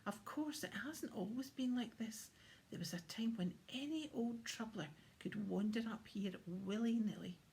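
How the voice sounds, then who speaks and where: A woman's voice: a little high, unhurried, gravelly, a little mellow. A woman voicing a jolly, proud old Santa who explains things merrily in a children's storybook. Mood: merry, boastful. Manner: merry, explanatory. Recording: faint background noise.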